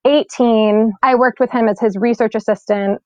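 A woman's voice: fairly high pitch, normal speed, no room sound. A young woman and climate scientist, born in Sweden and raised in New York, talking casually and reflectively in an interview with lots of "like" and "um.". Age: young adult. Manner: relaxed, reflective, heavy use of "like" and "um". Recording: dull lo-fi recording.

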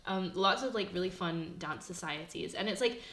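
Young woman hosting a podcast, lowish voice, says like constantly, echoey room. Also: normal speed, a bit bright. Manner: chatty, heavy use of "like". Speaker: podcast host. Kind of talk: casual podcast interview.

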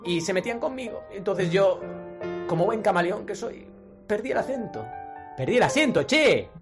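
A man co-hosting a podcast among friends, his voice rising and falling a lot as he tells a story with mock drama. He sits slightly higher than most men, and music plays under the talk. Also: normal speed. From Argentina. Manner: playful, dramatic, lively storytelling. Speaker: podcast co-host. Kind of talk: casual chat among friends.

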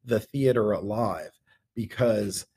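A middle-aged man answering interview questions as a guest, measured and given to emphatic repetition. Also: fairly low pitch, warm tone, husky. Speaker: interview guest, theater artistic director.

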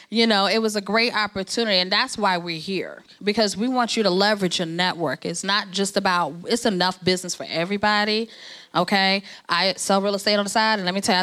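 A woman's voice: mid-range voice, fairly bright tone. A woman from New Jersey, the president of an organization, giving a conversational, encouraging speech at a networking event. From New Jersey, USA.

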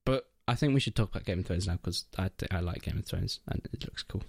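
Low-voiced young British man, close and dry. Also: fast, creaky, a little mellow, slightly rough, dry recording. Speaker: podcast co-host. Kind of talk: casual chat among friends.

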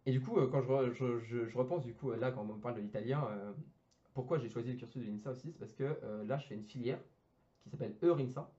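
Fast-talking young man, hesitant and slangy. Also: medium pitch, mellow, muffled recording. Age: young adult. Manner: hesitant, many fillers and restarts, slangy. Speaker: engineering student guest. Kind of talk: casual podcast chat.

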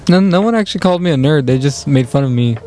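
A man as a podcast guest, giving brief, quiet answers. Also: on the high side, animated, quick pace, faint music behind. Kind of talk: casual podcast chat.

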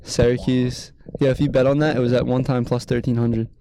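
A man hosting a casual sports podcast, jokey and self-deprecating, with a raspy voice and a slight lisp. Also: medium pitch, level tone, a little fast, a crowd in the background. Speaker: podcast host.

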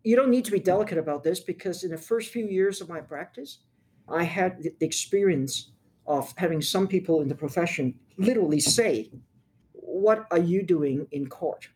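An older woman from Hong Kong, a lawyer, answering interview questions in a measured, frank way with emphatic points. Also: on the low side, dark tone, poor audio.

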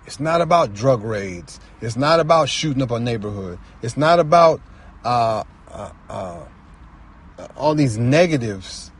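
A man talking casually and reflectively as a guest storyteller, earnest and halting, often repeating phrases for emphasis. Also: mid-range voice, animated, husky. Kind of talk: casual, reflective personal talk.

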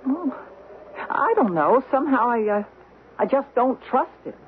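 A man's voice: extremely high pitch, sing-song, unhurried, background noise, exaggerated voice. A man playing a suspicious, uneasy partner in a radio mystery, probing and hesitant in delivery. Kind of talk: old-time radio mystery acting. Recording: muffled old radio broadcast recording.

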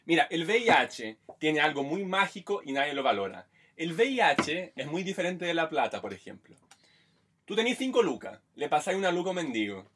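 Deadpan Chilean man with provocative jokes. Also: fairly high pitch, brisk, slight background noise. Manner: deadpan provocative joker, mock ranting. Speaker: podcast co-host. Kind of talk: casual chat.

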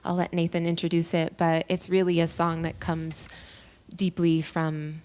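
Woman, fairly low voice, earnest, reflective, conversational guest musician. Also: monotone, moderate pace, a little mellow, smooth. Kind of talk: conversational self-introduction.

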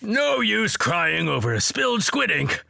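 A theatrical, creaky old octopus. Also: medium pitch, very animated, unhurried, pushed and bright, exaggerated voice. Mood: flustered, disappointed. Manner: theatrical, distracted, politely fussy. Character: ancient eccentric old male octopus, theatrical, fussy, kindly.

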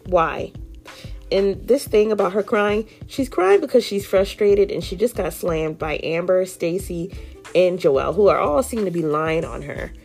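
A woman with a low, gravelly voice recaps a dating show in a sassy, opinionated way. She leans on slang, quotes the cast and throws in quick asides. Soft music plays faintly underneath. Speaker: podcast host recapping a reality show. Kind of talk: casual recap commentary.

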